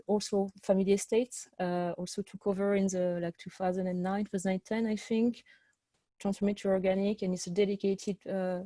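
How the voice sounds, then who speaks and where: A woman's voice: medium pitch, warm tone, no room sound. A middle-aged woman with a French accent, hosting an online wine seminar in a chatty, enthusiastic way full of fillers and restarts, heard over a video call. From France. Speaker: sommelier and webinar host.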